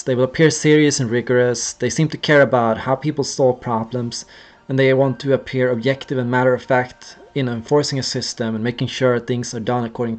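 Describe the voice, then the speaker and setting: A young man from Sweden, casually explaining on a livestream in an earnest way and acting out gestures as he goes. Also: mid-range voice. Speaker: streamer.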